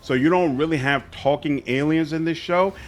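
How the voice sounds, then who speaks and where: A man's voice: a little high, normal speed. Man hosting a gaming commentary video. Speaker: gaming channel host.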